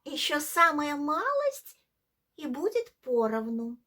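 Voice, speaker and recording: A woman voicing a sly female fox in a folk tale, speaking with coaxing, drawn-out, falsely polite reassurance. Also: sits high, sing-song, pushed and bright, voice pushed up, heavily acted. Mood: soothing. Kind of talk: theatrical bedtime folk-tale reading.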